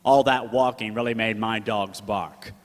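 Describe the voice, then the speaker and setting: A man's voice: on the low side, fairly even intonation, measured pace, close to an everyday voice. Man playing slangy old-time gumshoe, echoey hall. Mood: wry narrating. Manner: slangy wise-guy talk. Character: folksy 1940s gumshoe cousin, slangy, fond, protective man. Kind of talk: 1940s radio drama acting, narration and dialogue.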